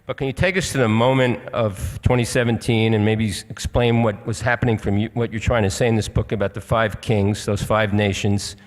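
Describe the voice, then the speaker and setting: An older man with an American accent hosts a live on-stage conversation. His voice is fairly low and earnest, and he rambles with halting phrases. Hall reverb surrounds him. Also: steady tone. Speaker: interviewer, moderator. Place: auditorium stage.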